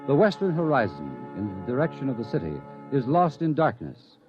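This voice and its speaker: A man giving solemn, ominous scripted narration for a radio drama in measured phrases. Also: mid-range voice, big pitch swings. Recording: dull lo-fi, faint music behind.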